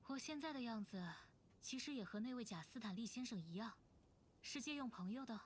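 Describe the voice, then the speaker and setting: A woman playing a youthful androgynous male wind-spirit bard in a video game, telling tales in a lyrical, measured way. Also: fairly high pitch, expressive, natural voice. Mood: wistful, gentle. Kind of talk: video game voice acting, poetic storytelling. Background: loud game music.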